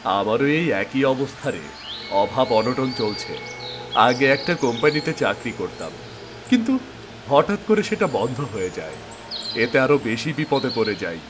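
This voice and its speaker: A man playing a struggling, protective older brother and old friend in expressive, resigned cartoon dialogue. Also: medium pitch, normal speed, close to an everyday voice. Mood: resigned, downcast. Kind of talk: acted cartoon drama dialogue. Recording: noisy outdoor ambience with birds, insects.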